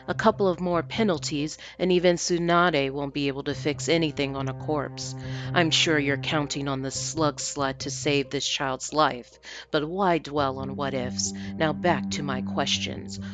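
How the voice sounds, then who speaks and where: A cold, menacing demon fox , voiced by a woman reading a story, speaks low and deliberately. It commands and taunts over faint music. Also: steady tone. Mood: taunting.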